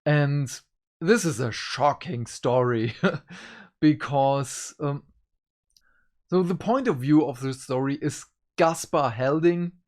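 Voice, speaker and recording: A host giving a casual review to viewers, speaking in an emphatic, deliberate way. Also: medium pitch, darkish tone. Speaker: book reviewer and channel host.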